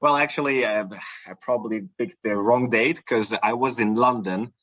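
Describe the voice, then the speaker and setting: A man's voice: mid-range voice, normal speed, fairly bright tone, dry recording. A young man from Ukraine, chatting as a podcast guest with many fillers and restarts. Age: young adult. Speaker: supporters club guest. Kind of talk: casual podcast interview.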